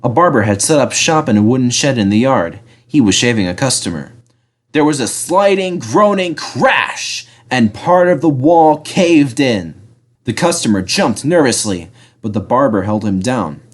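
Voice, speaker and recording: A man reading a children's storybook aloud as scripted, expressive narration. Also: mid-range voice, normal speed. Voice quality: animated, expressive delivery. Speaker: story reader.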